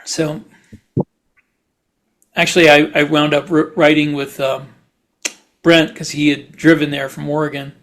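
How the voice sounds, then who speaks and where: A man's voice: fairly high pitch, little pitch movement, measured pace, pauses often, a bit bright. A man chatting casually as a podcast host, rambling through anecdotes.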